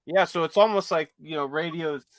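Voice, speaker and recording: A man chatting casually as a podcast host, halting and peppering his talk with filler phrases. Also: on the high side, no echo. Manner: halting, peppered with you know. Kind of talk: casual chat.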